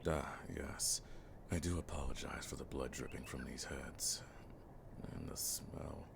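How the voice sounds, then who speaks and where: A man playing a weathered, world-weary veteran monster hunter in a fantasy audio drama, with a gravelly voice and a dry, wryly formal way of speaking. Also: very deep voice, steady tone, a little slow, background noise, heavily acted.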